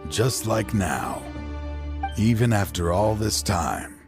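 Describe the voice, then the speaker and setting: A low-pitched, battle-worn father from a video game. He speaks gravely and deliberately, with dramatic weight, over clearly audible music. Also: animated. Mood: wistful. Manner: measured, grave, dramatic delivery. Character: grieving middle-aged father, battle-hardened warrior feigning villainy. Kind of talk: English video game voice acting. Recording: loud game music behind.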